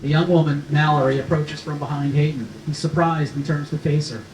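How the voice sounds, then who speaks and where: A man's voice: fairly high pitch, steady tone, a little fast, a little mellow. Man narrating screenplay in echoey room. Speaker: stage-direction narrator at a table read. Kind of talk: scripted narration, reading screenplay action. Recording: echoey room with faint noise.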